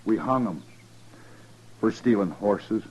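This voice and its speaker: An actor playing an evasive, callous American rancher in a 1950s radio western, with folksy, halting, understated talk. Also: male voice, fairly low pitch, lively intonation, darkish tone, breathy edge, dull audio, full character voice. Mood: uneasy, offhand. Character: evasive, callous male rancher confessing to a lynching.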